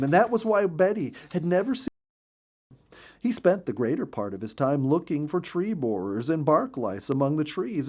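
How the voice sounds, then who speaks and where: Male narrator for a children's animal story: expressive, emphatic, widely swinging intonation. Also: a little high.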